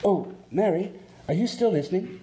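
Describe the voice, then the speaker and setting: Man playing muffled-dark, brash young businessman. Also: high voice, lively intonation, moderate pace, a little hiss or hum. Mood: pushy, excited. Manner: hearty, boastful. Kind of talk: radio play acting.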